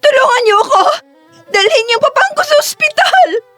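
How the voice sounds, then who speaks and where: Shrill, desperate young heroine. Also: female voice, big pitch swings, a little fast, fairly bright tone, dry recording, soft background music, full character voice. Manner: shrill, pleading, tearful. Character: desperate, wronged young woman, defiant protective daughter. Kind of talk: Filipino audio drama acting.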